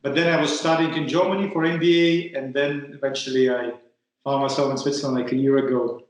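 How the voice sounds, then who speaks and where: A man's voice: medium pitch, steady tone, a little fast, very echoey, poor audio. A man from Russia with a Russian accent, hosting an interview over a call, hesitant and repeating words as he searches for phrasing. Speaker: podcast host.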